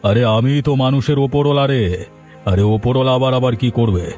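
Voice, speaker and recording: A man's voice: medium pitch, fast talker, a little mellow, faint music behind, close to an everyday voice. A man voicing a greedy, gloating village landlord in a cartoon, domineering and bossy in his talk. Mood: scornful, irritated. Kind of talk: dubbed cartoon dialogue.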